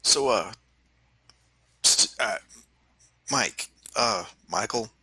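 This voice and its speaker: A man improvises casually as a player in a tabletop game. His slightly low voice is very sharp-edged and husky, and he phrases things hesitantly. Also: lively intonation, drawn-out words. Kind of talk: casual improvised role-play.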